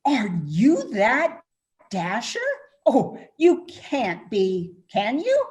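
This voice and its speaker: Woman as a high, slow, animated young patron. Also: heavily acted. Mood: astonished. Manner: exclamatory, incredulous. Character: young Billy, skeptical, excitable bar patron. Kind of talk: radio-play style readers theatre acting.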